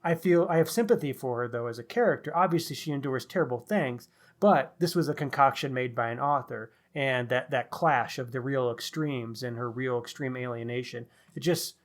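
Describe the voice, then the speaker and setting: A man's voice: mid-range voice, moderate pace. Man casually discussing books, analytical and wordy. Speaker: book podcast co-host.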